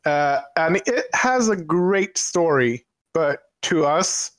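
A Swedish man talks at an unhurried pace, and he often stops to search for his words. His voice comes through dry and close, with no room sound at all. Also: a little high, animated, a bit bright, no room sound. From Sweden. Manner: slow, hesitant, with many ums and restarts. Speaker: streamer and podcast guest. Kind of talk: casual chat.

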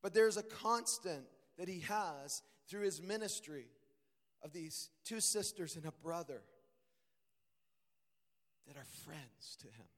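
A man preaching a sermon, his voice hoarse and strained, earnest and rising to emphatic peaks. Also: a little high, animated, slow, pauses often, fairly bright tone. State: strained voice, deeper than usual. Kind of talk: sermon reading and expounding scripture. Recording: long hall reverb.

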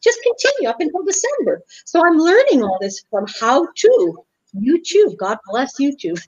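Chatty woman host with a high bright voice, laughing often, easy friendly back-and-forth. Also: huge pitch swings, normal speed, lo-fi sound. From Canada. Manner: upbeat, chatty, laughs easily. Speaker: talk show host. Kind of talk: casual interview chat.

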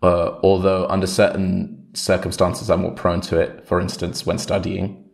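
A man's voice: low-pitched, completely flat delivery, normal speed, gravelly. A man who grew up in Switzerland, co-hosting a casual podcast with a dry, wry, slightly formal way of talking. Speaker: podcast co-host. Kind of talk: casual chat.

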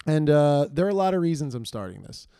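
A hoarse man hosts his podcast, rambling and self-deprecating with lots of fillers. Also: on the high side, sing-song, fast talker, dark tone, no echo, studio quality. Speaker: podcast host. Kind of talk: casual solo intro monologue.

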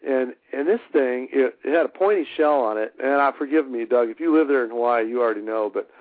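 A man guesting on a radio show, talking casually and haltingly with many fillers. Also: mid-range voice, dry recording. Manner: halting, warm-hearted, many fillers and restarts. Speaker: musician guest. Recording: dry, tinny phone line.

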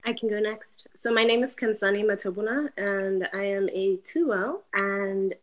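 A woman in her twenties from South Africa, a law student guest who speaks in a measured, light-hearted way. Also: mid-range voice.

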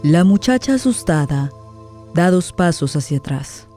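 A woman's voice: on the low side, lively intonation, measured pace. A woman giving a dramatic scripted narration of a radio play. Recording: soft guitar music under voice.

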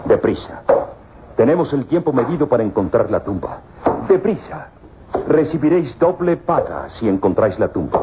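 A man's voice: mid-range voice, sing-song, normal speed, heavy background noise, close to an everyday voice. An actor playing a middle-aged archaeologist professor and father in an old radio serial, theatrical, formal and commanding, eager for discovery. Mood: urgent, commanding. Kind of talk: old Spanish-language radio serial acting. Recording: lo-fi old radio, music underneath.

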